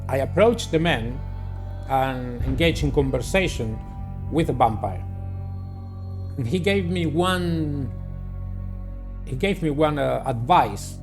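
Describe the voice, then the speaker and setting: A man doing a playthrough of a visual novel, reading it aloud and commenting in a deliberate, halting way. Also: mid-range voice, lively intonation, soft background music. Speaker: gamer playing and narrating a visual novel. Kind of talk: dramatic read-aloud with casual playthrough commentary.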